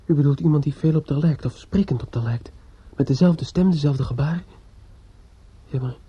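An actor playing a grief-stricken, distraught young man in a Dutch radio drama, speaking haltingly with stammers and repetitions. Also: medium pitch, breathy edge. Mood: despairing, tender. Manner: halting, stammering, repeating himself. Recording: dull, slightly noisy old radio recording.

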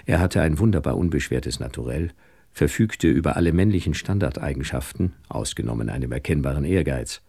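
A man's voice: extremely low pitch, expressive, a little fast, gravelly, warm tone, slightly rough, no echo, exaggerated voice. An actor playing a world-weary theatre producer who tells his story in a dry, wry, reflective radio drama style. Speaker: narrator and lead actor. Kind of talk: radio drama narration and dialogue.

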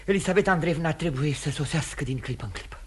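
An actor playing an earnest young gentleman, a grown son in love, urgent and imploring, in a theatrical radio drama. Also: fairly high pitch, lively intonation, a little hiss or hum, natural voice. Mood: urgent, pleading.

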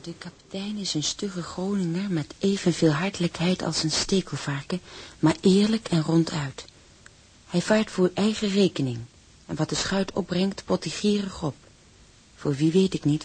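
An actor playing a young seaman who narrates life on board in a radio drama, breathy, wry and confiding. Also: high-pitched, a little mellow, heavily acted. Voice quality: husky, breathy.

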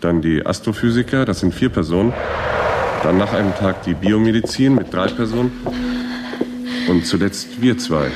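An earnest male crew leader played by an actor in a space radio play. His voice is deep, and he reasons things out deliberately. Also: heavy background noise, close to an everyday voice. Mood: concerned, businesslike. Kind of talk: German radio drama acting.

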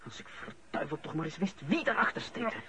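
An actor with a Flemish accent playing an aggrieved, bitter adult son who feels wrongly accused in a radio play. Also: male voice, sits high, very animated, brisk, crowd noise. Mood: anguished, indignant. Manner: agitated, bitter, emphatic. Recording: muffled radio drama recording.

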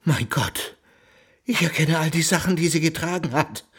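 A man playing a jealous, betrayed baron in a radio drama, speaking in an anguished, halting way. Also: a little high, fairly bright tone, husky, exaggerated voice. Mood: anguished disbelief. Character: shaken, jealous baron discovering his mistress's betrayal.

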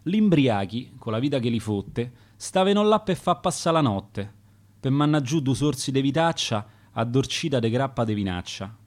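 A man's voice: mid-range voice, lively intonation, moderate pace, lightly acted. A man reading the verse of a dead tavern keeper recalling his customers, in a Roman accent. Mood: wistful. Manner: reciting dialect verse in rhyme. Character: dead old tavern keeper, wistful storyteller.

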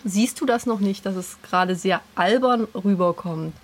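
A woman with a medium-pitched, husky voice chats as a podcast co-host. Her delivery is informal and conversational, like someone reminiscing among friends. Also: normal speed. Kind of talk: casual nostalgic podcast chat.

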